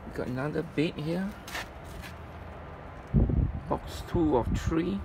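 A man giving an informal, halting item-by-item walkthrough as a presenter at a storage unit. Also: creaky. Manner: halting, piecemeal delivery. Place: storage facility, at open unit. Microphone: handheld phone camera, speaker behind it. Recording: noisy handheld recording, traffic-like rumble.

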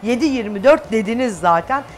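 A woman's voice: fairly high pitch, animated, fairly quick, slight background noise. A woman in her thirties hosting a cookery show, interviewing casually and echoing her guest's answers. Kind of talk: casual interview with short reactions. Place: TV studio kitchen set. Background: background music.

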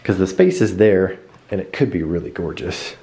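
A man in his thirties giving a quick, upbeat house tour and chatting at home. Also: sits low, lively intonation, dark tone. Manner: quick, upbeat, rambling with restarts. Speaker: vlog co-host. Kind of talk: casual house tour and chat. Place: living room at home, indoors.

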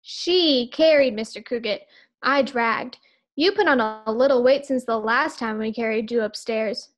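A woman plays a good-natured maid in a radio sitcom, with a high voice. She is deferential to her employers but cheeky underneath. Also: measured pace, close to an everyday voice. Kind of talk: 1940s radio sitcom acting.

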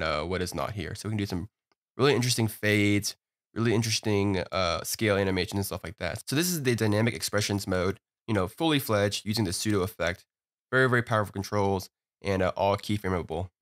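A man walking through a software tutorial in a relaxed, upbeat way, often filling gaps with "you know" and "uh". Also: lowish voice. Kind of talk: screen-recorded software tutorial.

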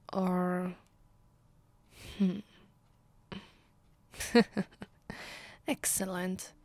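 A woman with an Italian accent playing an imperious, mocking ancient vampire mistress in an ASMR roleplay, speaking deliberately and often dropping into a whisper. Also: medium pitch, a little mellow, no room sound, close to an everyday voice. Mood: condescending. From Italy. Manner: slow, haughty, deliberate with pauses, often breathy whispering. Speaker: ASMR voice actress. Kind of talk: ASMR roleplay, slow intimate delivery. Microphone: close, dry microphone.

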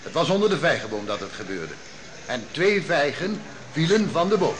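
A man's voice: fairly high pitch, big pitch swings, normal speed, noisy background. An actor playing a hesitant older elder who stumbles over his lies on the witness stand in a radio drama. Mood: flustered. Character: nervous older male elder caught lying. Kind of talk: vintage radio drama acting.

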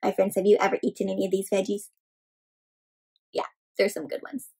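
A young woman reading a picture book aloud to children, animated and acting out the characters while asking the kids questions. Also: medium pitch, fairly quick, creaky, slightly rough. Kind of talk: interactive read-aloud for kids. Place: home room with decorated wall.

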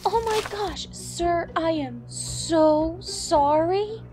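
A woman playing a capable vigilante teammate in an audio drama, brisk and teasing. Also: very high-pitched, background noise, heavily acted. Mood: feigned fluster. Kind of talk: naturalistic audio drama acting.

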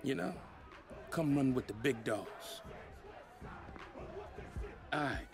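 A smug, taunting label boss. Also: male voice, medium pitch, expressive, slow pace, creaky, crowd noise. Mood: boastful. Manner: mocking, boastful street talk. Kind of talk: video game cutscene acting. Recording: game audio with loud hip hop music.

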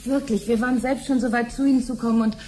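An actress playing an unassuming, conciliatory middle-aged wife in a radio drama. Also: female voice, a little high, fairly even intonation, fast talker, warm tone, lightly acted. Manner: apologetic, unassuming, casual. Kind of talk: 1970s German radio drama acting. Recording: slightly noisy old radio drama recording.

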